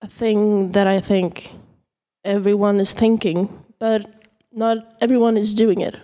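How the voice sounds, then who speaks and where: An eighteen-year-old woman from Sweden hosts a casual podcast chat among students. She keeps things friendly and moves the group from question to question, with a voice of middling pitch. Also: level tone, a little slow, dark tone. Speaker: podcast host.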